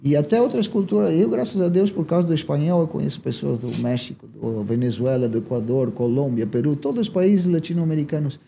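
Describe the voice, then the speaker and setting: A man's voice: on the high side, brisk, creaky, few pauses, warm tone, slight background noise. A man from Uruguay with a Uruguayan accent and a southern Brazilian lilt, telling his story as a guest in a casual conversation, with many tag questions. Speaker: guest, entrepreneur.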